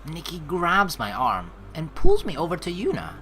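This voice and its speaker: A woman hosting a let's play, reading story narration aloud and reacting with animated, excitable commentary. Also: sits low, normal speed, slight background noise.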